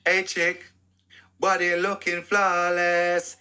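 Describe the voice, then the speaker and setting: A man rap-singing a melodic vocal through pitch correction. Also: completely flat delivery, slow pace, sharp tone, smooth. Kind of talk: autotuned rap vocal playback.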